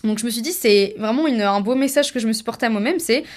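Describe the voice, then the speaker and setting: A woman's voice: a little high, fast, a little mellow. A young woman chatting casually on a podcast as a content creator, informal, slangy and encouraging. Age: young adult. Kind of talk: casual chat.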